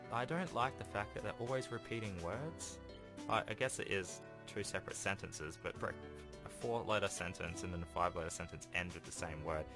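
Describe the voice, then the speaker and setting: A man hosting a gaming video, reading a visual novel aloud in a casual way with informal offhand commentary. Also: low-pitched. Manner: informal, makes offhand asides between read passages. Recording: game music playing behind the voice. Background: game music.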